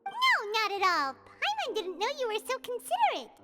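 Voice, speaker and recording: A tiny, chirpy fairy sidekick from a video game. The voice is squeaky, extremely high and wildly animated, over loud music. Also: female voice, a little fast, exaggerated voice. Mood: pleasantly surprised. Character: tiny, childlike floating fairy companion, excitable and chirpy.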